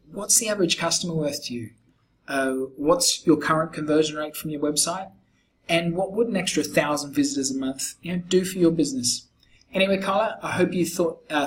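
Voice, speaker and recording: A man with a croaky, hoarse voice talking a client through a website review and sales pitch in a relaxed, conversational way. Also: slight background noise, low-quality recording. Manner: conversational, explanatory, frequent fillers and restarts. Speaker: SEO agency founder pitching to a client.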